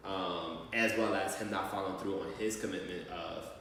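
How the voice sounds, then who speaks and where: Male, low register, measured school-staff explainer; recorded far off in a reverberant hall. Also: steady tone, fairly bright tone. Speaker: school staff member calling a parent. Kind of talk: training role-play of a parent phone call. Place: large echoey room, far from mic. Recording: very echoey hall, distant mic, faint noise.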